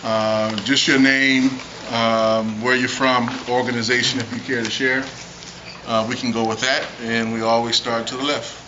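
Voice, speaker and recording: A man moderating a panel discussion, talking casually and haltingly with many filler sounds. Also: mid-range voice, flat delivery. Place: meeting room. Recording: dull noisy recording.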